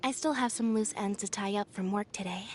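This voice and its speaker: An actress playing an aloof, quiet young female magician's assistant in a video game, with a deadpan, drowsy and reserved manner. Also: medium pitch, brisk, slight background noise, natural voice. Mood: deadpan, composed. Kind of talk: video game character voice lines.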